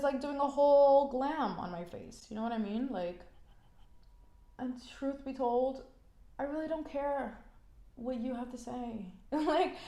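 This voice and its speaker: A woman's voice: a little high, a little mellow. A young woman talks through her makeup routine in a loose, frank way, her voice stuffy and congested from a cold. She leans on "like" and "you know" as she goes. The sound is echoey, as if recorded in a bare room at home. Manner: chatty, frank, many "like" fillers. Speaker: beauty vlogger. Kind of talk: casual chat while doing makeup.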